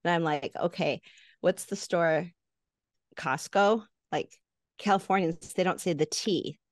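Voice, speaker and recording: A woman's voice: lowish voice, unhurried, no echo, clean studio sound. A middle-aged woman with a Chicago accent, co-hosting a casual podcast chat, chatty and full of "like" fillers. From Chicago area. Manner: chatty, laughs often, many "like" fillers. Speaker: podcast co-host.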